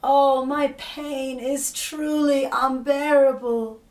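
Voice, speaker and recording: A woman voicing a tormented pregnant goddess queen of the underworld, with drawn-out moaning cries. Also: a little high, very animated, darkish tone, voice pushed up. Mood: anguished. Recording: roomy echo, distant mic.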